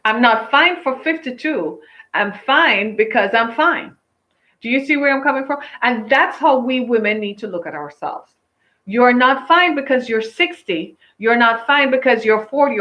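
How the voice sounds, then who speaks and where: A woman's voice: a little high, normal speed, pushed and bright. A woman in her fifties talking casually to viewers, emphatic and preachy, repeating her phrases for effect. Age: 50s. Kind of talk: casual opinion talk to viewers. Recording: dull lo-fi sound.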